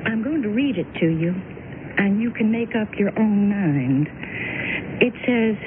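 A woman's voice: medium pitch, lively intonation, unhurried, natural voice. Kindly motherly woman played by actress, tinny radio. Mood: hushed, solemn. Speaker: radio drama supporting character. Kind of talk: radio drama acting. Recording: tinny, noisy old radio recording.

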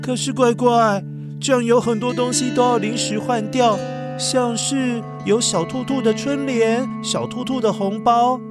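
A woman's voice: on the high side, measured pace. Woman narrating a children's story over music. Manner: expressive, addresses children directly. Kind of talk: scripted storytelling for young children.

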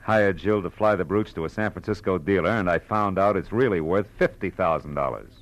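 Hard-boiled American detective, deep voice, wisecracking, terse, old radio drama style. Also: male voice, a little fast, dry recording. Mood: tough, probing. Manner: tough, clipped, sardonic. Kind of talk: 1940s radio drama acting, first-person narration.

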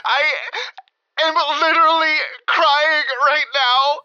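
A man's voice: very high-pitched, level tone, slow, pushed and bright, no room sound, heavily acted. An actor playing a melodramatic, self-absorbed man in an audio comedy drama, overwrought and declamatory. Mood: desperate, indignant. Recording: dull sound with music behind.

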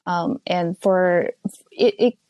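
A woman in her thirties from North Korea, speaking English with a North Korean accent as a second language after Korean, recounting her life as an interview guest over the phone in a measured, careful way with frequent pauses and fillers. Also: on the low side, dark tone, no room sound. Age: 30s. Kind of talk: personal testimony interview. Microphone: phone call.

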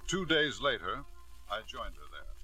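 Bright-voiced, animated husband. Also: male voice, fairly low pitch, unhurried, soft background music, exaggerated voice. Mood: confiding, rueful. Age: middle-aged. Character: wealthy, controlling middle-aged knighted husband, smooth and self-justifying. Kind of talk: 1950s radio drama acting with first-person narration. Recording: vintage 1950s radio broadcast.

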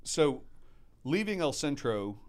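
A man's voice: on the high side, takes it slowly. A man in his thirties, born in Mexico to American parents, chatting casually and acting out quotes as he tells stories about running restaurants. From born in Mexico, raised by American parents. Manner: animated storyteller acting out quotes. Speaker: guest, restaurant manager. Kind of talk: casual storytelling chat.

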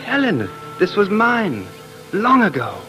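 An actor playing an anguished, passionate time traveler in love, in theatrical 1940s radio drama style. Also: male voice, high-pitched, huge pitch swings, takes it slowly, soft background music, full character voice. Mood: tender, sorrowful. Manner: impassioned, theatrical.

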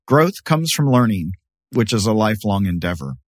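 A man's voice: on the low side, big pitch swings, moderate pace, dry recording, studio quality. A man reading scripted promotional narration for an advert.

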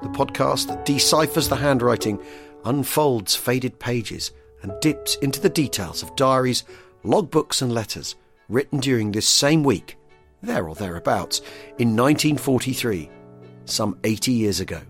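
A man presenting a history podcast, reading scripted narration in a husky voice. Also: mid-range voice, animated, moderate pace, faint music behind.

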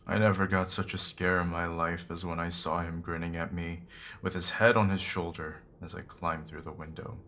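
A man's voice: low-pitched, little pitch movement, normal speed, sharp tone. A man playing a weathered, middle-aged convict in a Victorian audio drama, speaking earnestly and deferentially with plain working-class phrasing. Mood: shaken, subdued. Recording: noisy ambient background.

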